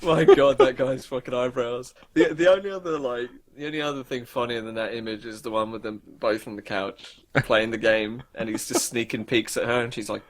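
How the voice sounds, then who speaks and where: A man's voice: medium pitch, steady tone, brisk, few pauses. A man co-hosting a casual, unscripted gaming podcast, informal and jokey, with loose language. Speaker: co-host presenting video game news.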